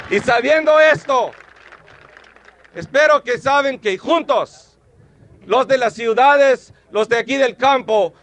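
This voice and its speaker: A man, delivering a rousing union rally speech and shouting slogans to a crowd. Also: very high-pitched.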